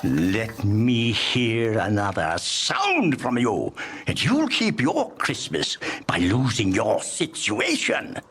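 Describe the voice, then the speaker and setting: A man playing a bitter, miserly old businessman in a dramatized audiobook, curt, sneering and rough-voiced. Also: fairly low pitch, huge pitch swings, fairly bright tone, a little hiss or hum, full character voice. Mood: angry, sarcastic. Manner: sneering, snapping, drawn-out sarcasm.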